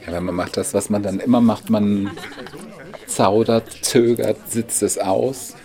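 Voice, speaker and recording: Casting: uneasy, hesitant onlooker in radio drama; lowish voice, natural conversational delivery. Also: male voice, fairly even intonation, unhurried, mellow.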